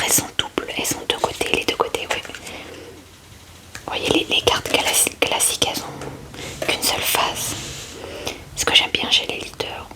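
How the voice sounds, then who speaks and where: A woman whispers breathily and gently into a close microphone, murmuring short informal remarks as ASMR commentary. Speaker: ASMR video creator.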